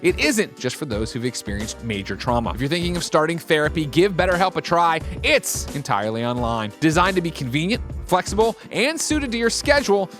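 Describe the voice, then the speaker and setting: A man reading a scripted sponsor advertisement with emphatic, clearly promotional delivery. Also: on the high side, a bit bright. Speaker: host reading sponsor ads. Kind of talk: scripted ad read.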